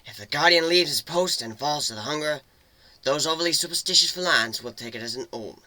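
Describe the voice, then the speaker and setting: A man's voice: on the high side, brisk, bright, forceful tone, dry recording, poor audio. A man voicing a bossy, streetwise female cat guide in a fantasy storybook reading. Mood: wry, cautioning. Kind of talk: dramatic storybook character reading.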